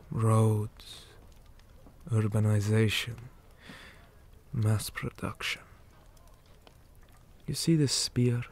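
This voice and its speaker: Man playing a slow, dark-voiced orc commander. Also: fairly low pitch, heavily acted. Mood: grave, proud. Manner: slow, measured, grave, often dropping into breathy whispers. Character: weary, principled male orc commander interrogating a prisoner. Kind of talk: ASMR roleplay.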